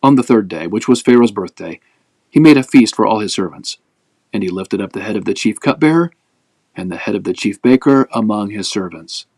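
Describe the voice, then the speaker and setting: A man reading scripture aloud as a narrative, with measured, expressive phrasing, as host of a daily reading. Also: fairly low pitch, no room sound.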